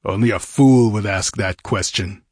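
A man performs a battered barbarian warrior in an adventure audiobook. He rasps in pain, breath short, yet stays grim and defiant. The sound is rough and dry. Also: mid-range voice, measured pace, a little mellow, no room sound, voice pushed up, lightly acted. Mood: grim muttering. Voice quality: rasping. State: panting, in pain.

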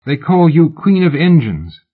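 A man reading an audiobook, voicing a pushy, excitable exiled woman who gushes and pleads in an overly familiar way, on a dull lo-fi recording. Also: fairly high pitch, a little slow, pitched above the performer's own voice. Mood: gushing.

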